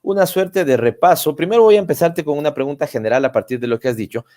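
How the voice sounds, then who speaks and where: A man's voice: on the high side, quick pace. A man hosting a political talk show, who talks in a conversational way with many "eh" fillers. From Ecuador. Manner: warm conversational, with frequent "eh" fillers. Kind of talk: interviewing a guest.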